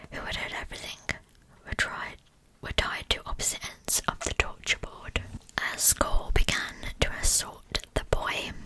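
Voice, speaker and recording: A woman narrating a scripted true crime story as ASMR in a breathy, unvoiced whisper, with a measured storytelling manner. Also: pushed and bright, low-quality recording. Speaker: ASMR channel host.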